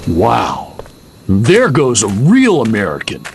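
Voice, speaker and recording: Melodramatic fifties teenage rebel boy, game parody, huge pitch swings, drawn out. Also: huge pitch swings, takes it slowly, vocal fry, a little mellow, a little hiss or hum, full character voice. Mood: awed. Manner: melodramatic, gullible. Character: bored, overdramatic 1950s male teenage rebel. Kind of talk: video game cutscene parody acting.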